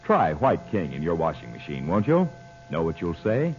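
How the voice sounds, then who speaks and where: A man's voice: sits low, wildly swooping pitch, normal speed, faint music behind. A man announcing and reading advertising on vintage radio in a polished, emphatic manner. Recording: muffled old radio broadcast.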